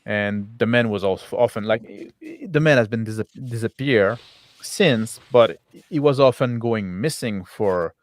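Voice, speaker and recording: A man improvising as game master in tabletop roleplay, halting and often repeating and rephrasing his words. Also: fairly low pitch, dry recording.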